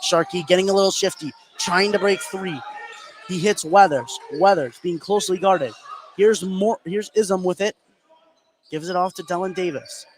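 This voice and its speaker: A higher-voiced American man works as a play-by-play announcer at a basketball game. He gets excited and draws out words on big plays. Gym noise is audible behind him. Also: normal speed, rough recording. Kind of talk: live basketball play-by-play commentary. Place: indoor high school gym. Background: gymnasium ambience.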